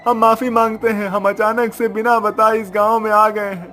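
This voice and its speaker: A man's voice: sits high, little pitch movement, normal speed, pushed and bright, faint music behind, voice pushed up. A man voicing a humble, apologetic poor father in a cartoon story. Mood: apologetic, pleading.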